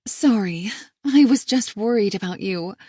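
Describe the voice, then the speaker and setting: A woman voicing a worried, caring adult sister who speaks softly and pleads with earnest concern in an audiobook. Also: mid-range voice, expressive, measured pace, breathy edge, dry recording, clean studio sound. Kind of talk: audiobook character reading.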